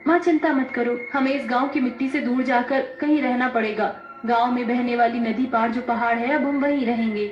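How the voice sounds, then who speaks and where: A woman's voice: on the high side, fairly even intonation, brisk, natural voice. A woman playing an earnest, helpful young magical village girl in a children's story, speaking eagerly. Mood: resolute. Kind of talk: dramatized children's story dialogue. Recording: echoey, dull, music behind.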